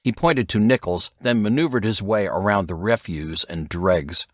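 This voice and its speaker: A man's voice: lowish voice, normal speed, no room sound, clean studio sound. A man reading a novel aloud as a scripted audiobook narrator.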